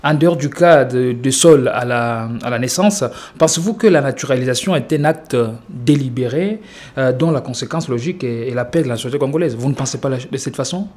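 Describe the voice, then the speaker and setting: A man's voice: mid-range voice, fairly quick, a little mellow. A man hosting a news show, asking formal interview questions with hesitant fillers.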